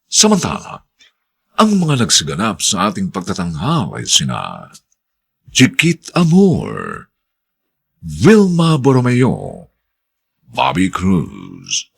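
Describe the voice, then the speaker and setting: A man working as a radio drama announcer, formal and theatrical, drawing out his scripted words in a gravelly, husky voice. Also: low-pitched, huge pitch swings, slow, long pauses.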